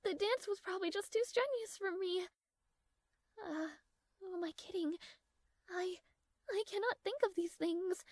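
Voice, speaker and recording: A proud young princess, acted by a woman in an audio roleplay, speaks slowly in a high voice. Her diction is formal and regal, haughty on the surface and prone to shy hesitation. The voice is close and dry, with music behind it. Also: no room sound. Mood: anxious, conflicted. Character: strong-willed, proud young princess, easily flustered, secretly smitten.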